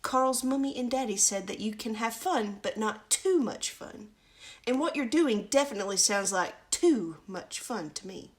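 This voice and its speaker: A woman's voice: a little high, lively intonation, normal speed, natural voice. Fatherly king for a picture book, good-humoured but cautioning, performed by a female reader.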